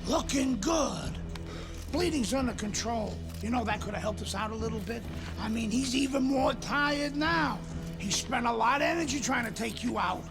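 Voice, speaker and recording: A man playing a fiery, devoted boxing cornerman in a video game, urging on his fighter in a gravelly voice. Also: very high voice, lively intonation, normal speed, over music. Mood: urgent encouragement. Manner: urgent, exhortative.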